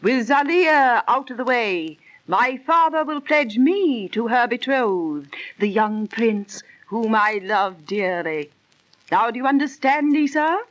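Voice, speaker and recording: A woman's voice: a little high, animated, takes it slowly. An actress playing a jealous, scheming young noblewoman of ancient Babylon, in imperious, theatrical, archaic 1930s radio style. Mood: insistent. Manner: imperious, formal, theatrical diction. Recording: dull old 1930s radio recording.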